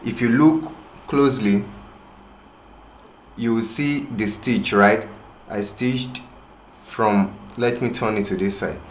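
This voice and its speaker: A man with a Nigerian English accent and a Yoruba lilt talks slowly through a tailoring demonstration, pausing and restarting as he works. His voice sits at an ordinary mid pitch. It is recorded in an echoey room, with faint ambient noise behind him. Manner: slow, hesitant, explaining with pauses and restarts. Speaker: tailoring instructor. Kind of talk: tutorial demonstration. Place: room with a patterned rug, working on the floor.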